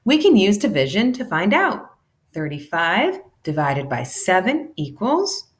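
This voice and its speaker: A woman giving an animated, deliberate read-aloud of a children's educational storybook, shifting between character lines. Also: medium pitch. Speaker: teacher reading a children's math book aloud.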